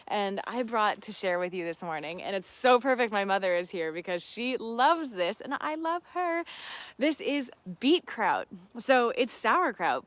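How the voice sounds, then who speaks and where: A woman hosting a casual live question-and-answer stream, talking to viewers in an effusive, gushing, giggly manner. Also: mid-range voice. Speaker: host of a live seed-farm Q and A. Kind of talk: casual livestream chat with viewers.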